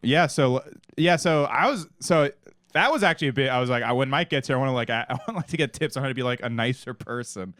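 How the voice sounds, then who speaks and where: A man co-hosting a comedy podcast in a studio, joking and rambling and quick to laugh. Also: medium pitch, lively intonation, a bit bright, dry recording. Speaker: podcast co-host. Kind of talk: casual podcast chat.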